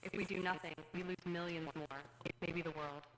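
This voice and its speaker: A woman's voice: on the low side, fairly even intonation, normal speed, a bit bright, natural voice. A woman playing an urgent, hard-line military adviser addressing a president in a horror animation. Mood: grim, urgent. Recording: roomy echo, faint noise.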